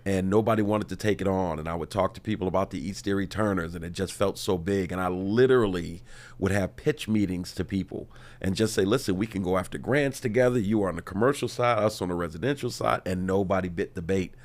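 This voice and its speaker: A man with a low voice that sounds congested and stuffed up. He talks conversationally as a guest in a studio interview. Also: fast talker, darkish tone. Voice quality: stuffed-up, nasal. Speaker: interview guest, community organizer. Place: radio studio.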